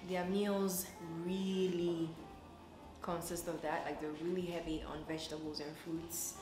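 A woman vlogger in her twenties with a slightly low voice talks casually to her camera. She is in an echoey room at home, with music behind her. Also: level tone, a little slow. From Ghana. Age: 20s. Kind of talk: casual vlog chat to camera. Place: indoor living room, kitchen and bedroom. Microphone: camera a few feet away.

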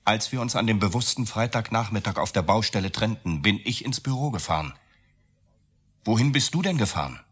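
A man's voice: fairly low pitch, expressive, a little fast, fairly bright tone, slightly rough. An actor playing a bitter, cornered, sarcastic man accused of murder in a radio drama. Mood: sarcastic, accusing. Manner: sarcastic, accusatory, rhetorical questions. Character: Max, accused architect, bitter, volatile, cornered lover. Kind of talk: German radio drama acting.

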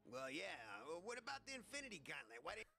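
A man's voice: sits high, big pitch swings, quick pace, gravelly, bright, forceful tone, full character voice. A man voicing a fussy, exasperated, impatient male officer and schemer in an amateur cartoon. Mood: pressing. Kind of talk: amateur animated cartoon voice acting. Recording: music behind the voice.

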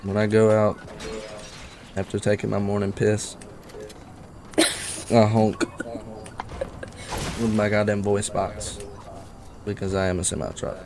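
A man's voice: fairly low pitch, little pitch movement, slow pace, long pauses, darkish tone, slight background noise. Brash profane man trolling on game chat. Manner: brash, profane, mock-aggressive teasing. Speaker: streamer trolling random teammates. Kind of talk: casual gaming voice chat.

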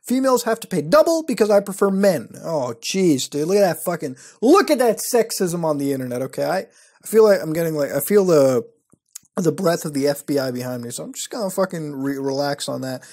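A man's voice: fairly high pitch, expressive, brisk, a little mellow. A young man hosting his show from a small room at night, reacting to websites with wry, profane commentary. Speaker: host. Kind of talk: casual commentary reading websites.

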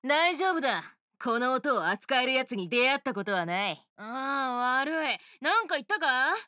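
A woman's voice: sits high, sing-song, normal speed, sharp tone, no room sound, studio quality, exaggerated voice. A cocky oni rock star girl. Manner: swaggering, boastful, rough tough-girl speech. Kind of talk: video game voice lines. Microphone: studio mic, dry.

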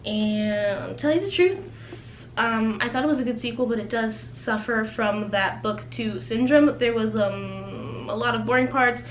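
A roomy, echoing recording with faint noise carries a young woman's fairly high voice. She speaks in the informal, chatty style of a book vlogger, conversational and unscripted. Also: unhurried. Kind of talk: casual chatty video blog.

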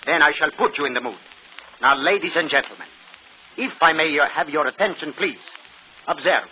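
A man's voice: on the high side, a little fast, slight background noise, close to an everyday voice. Man acting a theatrical stage magician, muffled old radio. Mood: grand, showy. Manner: theatrical, grandiose, foreign-sounding phrasing. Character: flamboyant, cheerful foreign stage magician, teasing showman.

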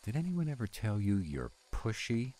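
A man playing a grumpy, self-doubting were-dragon cook in a roleplay audio drama, gruff but tender and grumbling reluctantly. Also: fairly low pitch, wildly swooping pitch, normal speed, warm tone.

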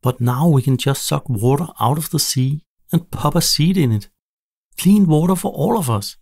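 A man's voice: fairly high pitch, lively intonation, normal speed, creaky, darkish tone, dry recording, clean studio sound, pitched above the performer's own voice. A man voicing a cheerful, confident male cartoon-animal inventor and adventurer in a storybook, upbeat in manner. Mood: pleased.

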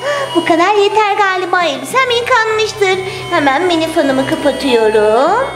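Toy-play cartoon doll girl, extremely high, affectionate singsong delivery, roomy sound over music. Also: expressive, moderate pace. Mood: cheerful. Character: cheerful little doll girl hosting her friends for tea. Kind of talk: children's toy play storytelling.

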